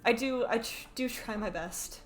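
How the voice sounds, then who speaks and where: A woman from Canada, chatting excitably and rambling while she live-streams a game. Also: a little high, normal speed, faint music behind.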